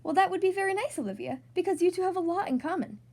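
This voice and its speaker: A woman voicing a brisk, cheerful storybook schoolteacher who speaks instructively to a class of children. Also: very high voice, expressive, a little mellow, pitched above the performer's own voice. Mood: welcoming.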